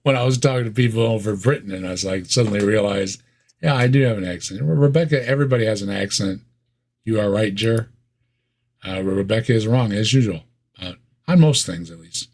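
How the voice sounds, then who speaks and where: Husky Midwestern man, wry reaction host. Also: fairly low pitch, brisk, a little mellow. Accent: Midwestern American. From American Midwest. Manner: wry, sarcastic, laughs often. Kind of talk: casual reaction chat.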